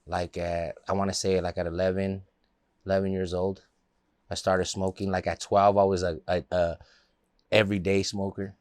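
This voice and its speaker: A man's voice: deep voice, flat delivery, moderate pace, dry recording, studio quality. A man from the Los Angeles area, a guest in a casual interview, telling stories in a loose, laid-back way with plenty of fillers.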